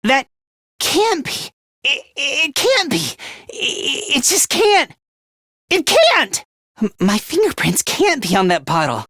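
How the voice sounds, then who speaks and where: A man's voice: sits high, wildly swooping pitch, moderate pace, a bit bright, no echo, clean studio sound, exaggerated voice. A man voicing a nervous, self-loathing teenage schoolboy in a video game, with a stammering, hesitant and defensive delivery. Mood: panicked. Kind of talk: English video game voice acting.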